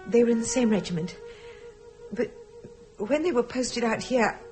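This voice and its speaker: An actress playing an unhappy, desperate wife who grieves for her brother, speaking in a halting, pleading way in a radio drama. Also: a little high, animated, dark tone, soft background music, natural voice. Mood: anguished, wistful. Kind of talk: dramatic radio play acting.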